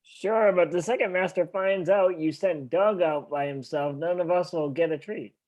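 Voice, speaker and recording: A man's voice: high-pitched, normal speed, darkish tone, lightly acted, low-quality recording. A man voicing a wary henchman dog, casual and deferential to his boss, at a table read. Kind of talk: animated film script table read.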